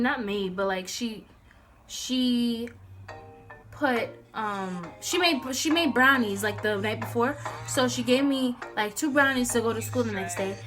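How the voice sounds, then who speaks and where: A young woman with an American accent and a very high-pitched voice tells a casual story straight to her viewers. She is animated and slangy, slipping into acted-out dialogue as she goes. Music plays along behind her voice. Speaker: storytime vlogger.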